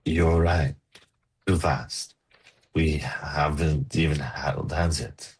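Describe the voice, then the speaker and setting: An actor playing a gentle, coaxing farm suitor, tender and a little forward, in a romcom table read. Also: male voice, a bass voice, steady tone, slow, slight background noise, heavily acted. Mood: conceding.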